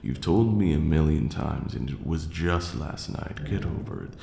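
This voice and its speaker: Man playing a deep, gravelly vampire lord. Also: lively intonation, mellow, full character voice. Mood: exasperated, instructive. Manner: measured, formal archaic diction, condescending yet doting. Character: ancient vampire lord, male, haughty yet tender mentor. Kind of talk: slow intimate ASMR roleplay acting. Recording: roomy echo, faint background noise.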